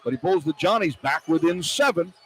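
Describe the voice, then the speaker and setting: High-pitched American basketball play-by-play man. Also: lively intonation, fairly quick. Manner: clipped phrases tracking each pass and shot. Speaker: radio play-by-play announcer. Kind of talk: live basketball play-by-play commentary. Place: courtside at an indoor arena. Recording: arena noise behind broadcast commentary. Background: arena ambience, basketball bounce.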